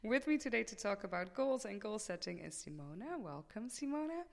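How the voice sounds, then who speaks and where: Creaky-voiced woman hosting in a reverberant space. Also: medium pitch, expressive, a little fast. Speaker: podcast host and student life coach. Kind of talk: podcast interview, conversational. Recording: reverberant hall-like room sound.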